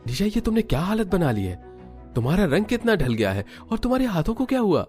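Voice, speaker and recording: Guy doing young husband all worked up and protective, voice pushed higher, cartoon story, music behind. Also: big pitch swings, quick pace, darkish tone. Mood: shocked. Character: indignant young husband defending his wife. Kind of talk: Hindi animated family story dialogue.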